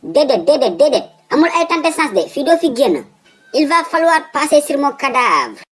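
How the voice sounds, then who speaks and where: A man's voice: very high-pitched, sing-song, fairly quick, a bit bright, exaggerated voice. A man voicing a fussy, stubborn old father in a comic cartoon, with a Senegalese accent, exclaiming and repeating his words emphatically. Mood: alarmed, pleading.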